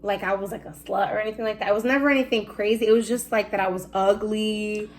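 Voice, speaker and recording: A young woman hosting a casual chat, telling lively stories with a loud voice and frequent laughs. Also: mid-range voice, fast. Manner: animated storyteller, laughs often, loud voice. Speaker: host.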